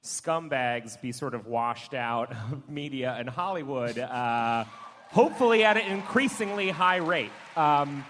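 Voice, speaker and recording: A man chats wryly on a live panel in a casual, comic way. His pitch is average for a man, and the hall adds a long reverb. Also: unhurried, a bit bright, a little hiss or hum. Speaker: comedian panelist. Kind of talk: wry casual panel talk. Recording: echoey hall, live stage show.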